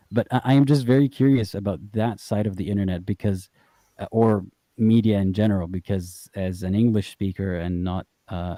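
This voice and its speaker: A man's voice: on the low side, mellow, no room sound. A man hosting a call-in stream, chatting casually and asking curious, clarifying questions. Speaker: host.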